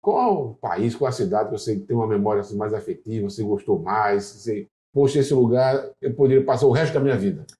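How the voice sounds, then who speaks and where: A man's voice: on the low side, fairly quick, hardly any pauses, dark tone. A man hosting a casual interview, asking open questions and answering with short affirmations. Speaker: interviewer, host. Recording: roomy echo.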